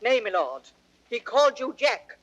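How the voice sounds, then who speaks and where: A woman's voice: on the high side, sing-song, normal speed. A garrulous tavern hostess, scolding and indignant in a vintage radio play. Her voice swings widely as she protests, and the recording is slightly muffled. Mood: complaining. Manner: flustered, protesting. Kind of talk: old radio Shakespeare acting.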